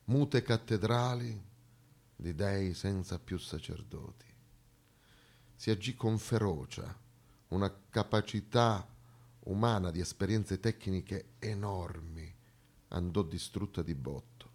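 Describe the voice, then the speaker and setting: Male stage monologuist, lowish voice, emphatic and rhetorical delivery. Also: darkish tone. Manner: emphatic, rhetorical, with dramatic pauses. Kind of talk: theatrical monologue. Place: theatre stage, live performance.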